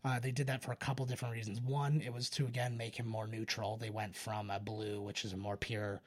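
A man's voice: fairly low pitch, little pitch movement, a little fast. A man chatting casually as a podcast co-host, explaining movie trivia in loose, sweary talk. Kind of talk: casual movie commentary chat.

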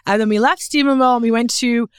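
A Canadian woman with a smooth, fairly high voice talks candidly as a guest in a casual interview. She tells stories with emphasis in a close, dry studio sound. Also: steady tone, fast, no room sound. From Newfoundland, Canada. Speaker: guest, fashion photographer and creative director.

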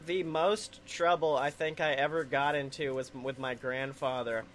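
A man's voice: mid-range voice, steady tone, a little slow, fairly bright tone, slight background noise. A man co-hosting a comedy podcast, chatting casually with boisterous, goofy riffing. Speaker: podcast co-host.

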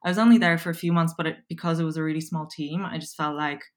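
A woman's voice: low voice, steady tone, quick pace. A woman in her twenties with an Irish accent, chatting casually as an interview guest and filling her talk with likes and ums. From Poland. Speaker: guest, copywriter.

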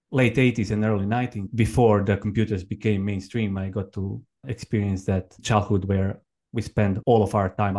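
A man in his thirties from Croatia with a Croatian accent, telling his own story conversationally as an interview guest, in halting, reflective non-native English. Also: lowish voice, darkish tone. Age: 30s. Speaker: podcast guest, permaculture farmer and educator. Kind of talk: conversational personal account.

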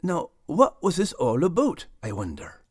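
A man voicing a brave, adventurous dog character with a Scottish accent and an animated delivery. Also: on the high side, moderate pace, slightly rough, dry recording, clean studio sound, exaggerated voice. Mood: reflective.

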